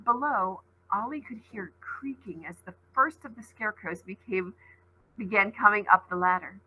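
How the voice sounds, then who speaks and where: A woman's voice: medium pitch, moderate pace, pushed and bright, a little hiss or hum, poor audio. A woman teacher reading a storybook aloud expressively and dramatically, with asides to her listeners.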